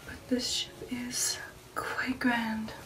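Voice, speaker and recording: A woman plays a refined lady vampire in an ASMR roleplay, whispering slowly and intimately in a breathy, fairly high voice. Her speech is genteel and affectionate. The voice sits a little way from the mic, with music underneath. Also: flat delivery, far from the mic. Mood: coy, curious. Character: refined, affectionate female vampire recounting an old shipboard romance. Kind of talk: ASMR roleplay, personal attention.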